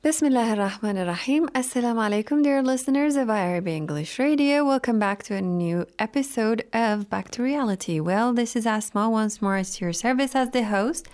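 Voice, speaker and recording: A woman hosting a radio discussion show, speaking in a measured, formal way and sometimes pausing to find her words. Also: on the high side, expressive, darkish tone.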